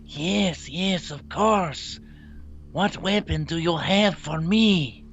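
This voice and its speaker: Cranky cartoon old-timer, male actor, high and slow, fussy delivery, dull lo-fi recording. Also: a bit bright, full character voice. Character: grumpy, fussy prematurely aged old man.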